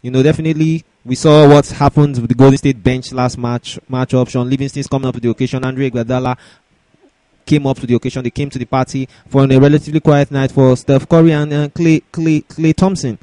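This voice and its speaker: Man, sports show host, medium pitch, dry recording, halting repeated phrases. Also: level tone, mellow, dry recording. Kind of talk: sports discussion, introducing segments.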